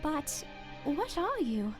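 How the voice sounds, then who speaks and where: Inquisitive young monster kid: high-pitched, animated, childlike, over music. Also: female voice, takes it slowly, exaggerated voice. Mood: curious, questioning. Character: small, curious young monster child, innocent and questioning.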